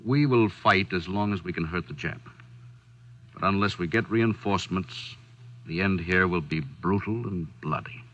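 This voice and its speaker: An actor playing a stern, resolute commanding general in a 1940s radio drama, formal and measured in speech. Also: male voice, sits low, a bit bright, lo-fi sound. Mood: grim resolve.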